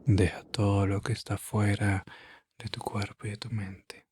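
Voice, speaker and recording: A man playing a gentle vampire in an ASMR roleplay, murmuring and whispering soft, breathy reassurance with long pauses to lull someone to sleep. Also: lowish voice, little pitch movement, no room sound. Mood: tender, soothing. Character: gentle male vampire soothing an adventurer to sleep.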